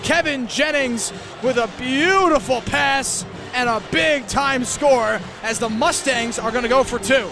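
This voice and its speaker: A young American man doing live radio play-by-play of a football game as a student broadcaster, excitable and given to shouting at big plays. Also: extremely high pitch, unhurried, music in the background, poor audio. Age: young adult. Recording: loud stadium and outdoor noise behind.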